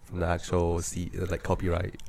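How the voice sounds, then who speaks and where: A man in a casual podcast chat, rambling excitedly and giggling as he talks. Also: deep voice, brisk, dry recording.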